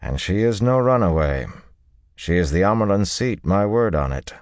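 Man voicing a calm, courteous low-voiced nobleman soldier. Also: dry recording. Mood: polite, firm. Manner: calm, polite, measured.

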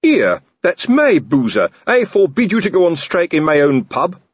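A man voicing a pompous, blustering middle-aged male watch captain who shouts and splutters indignantly. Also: mid-range voice, lively intonation, moderate pace, husky, dry recording, muffled recording, voice pushed up.

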